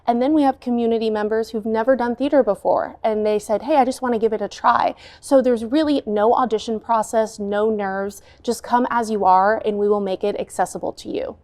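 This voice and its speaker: A woman's voice: on the high side, fairly even intonation, brisk, few pauses, a little mellow. A woman speaking as an interview guest, fluently promoting a program in an upbeat, welcoming manner. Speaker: associate artistic director, interview guest.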